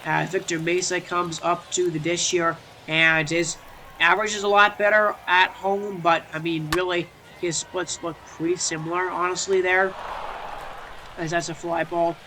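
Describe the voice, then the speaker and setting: A man's voice: high-pitched, flat delivery, moderate pace, background noise. A young man with a stuffy, congested voice doing chatty play-by-play commentary over a baseball video game, full of fillers and restarts and rising when a big play happens.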